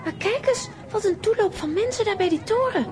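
Very high bossy little girl. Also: fairly quick. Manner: bossy, excitable. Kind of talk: children's radio play acting.

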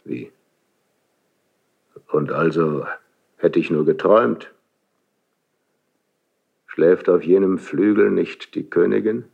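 An actor playing a brooding, suspicious, wounded king in classical German verse radio drama, gravelly and husky, with a halting, theatrical delivery. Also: low voice, highs cut off, heavily acted. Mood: suspicious, restless.

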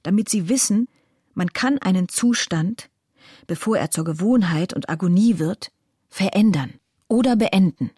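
An actress playing a sombre woman who reads a confessional letter in a radio play, husky and restrained. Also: mid-range voice, animated, measured pace, dark tone, no echo, clean studio sound. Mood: grave, restrained.

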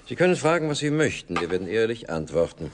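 An actor playing a smug, menacing company director in a German radio drama, polished, sardonic and theatrically courteous. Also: male voice, lowish voice, big pitch swings, fast, slight background noise. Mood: smug, affable.

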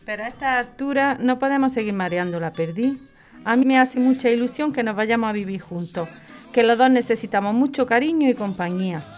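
An actress plays an older woman with a Spanish accent, affectionate and practical. Her voice sits slightly high. She talks in a coaxing, plain-spoken way, as in an intimate audio drama. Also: brisk, soft background music, lightly acted. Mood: fond, frank. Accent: Spain. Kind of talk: podcast radio drama acting, conversational.

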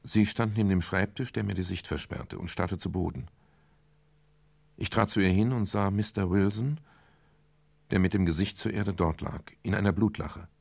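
A sober private detective with a low voice and a creaky edge. He is measured and matter-of-fact, in the manner of a radio crime play. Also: darkish tone, dry recording. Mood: sober, tense. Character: pensive, matter-of-fact private detective narrating his case. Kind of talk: scripted first-person radio crime narration.

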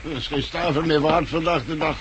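An actor with a Dutch accent from the Netherlands playing a rough, distrustful, excitable blind man in a 1960s radio drama. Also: a little high, brisk, lightly acted. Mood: scoffing. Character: rough, distrustful, jumpy blind man guarding his captive. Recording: noisy old 1960s radio recording.

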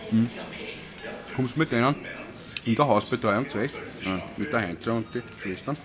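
A man's voice: mid-range voice, normal speed, music in the background, close to an everyday voice. An actor playing a jovial, gossipy male nurse in a radio play, with an Austrian accent and a teasing, colloquial manner. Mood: gossipy, cheeky. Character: jovial, cheeky, gossipy care-home nurse. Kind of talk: German radio play, casual dialogue.

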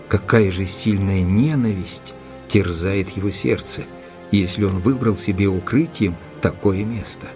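An actor playing an earnest gentleman doctor in an audio drama, narrating and questioning in a measured, serious, deliberate way. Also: on the low side. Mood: brooding, reflective.

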